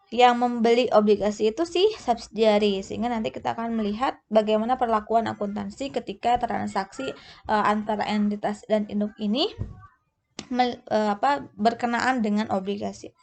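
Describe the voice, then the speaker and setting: Woman tutor, kinda high voice, explaining stuff step by step, informal lecture, bit halting. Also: darkish tone. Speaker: accounting tutor.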